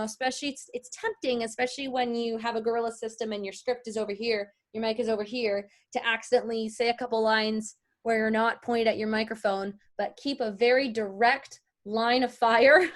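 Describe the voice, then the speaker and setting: Woman, higher voice, informal online teaching, conversational, explanatory. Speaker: voice-over artist teaching a lesson. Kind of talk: informal online lesson, answering questions.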